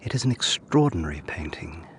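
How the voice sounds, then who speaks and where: An actor playing a reflective, haunted young lawyer, narrating a period audio drama in a measured, sombre way. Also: male voice, sits low, very animated, a little hiss or hum. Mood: haunted, wistful. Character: reflective, haunted young 18th-century lawyer recounting his past. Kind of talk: scripted first-person drama narration.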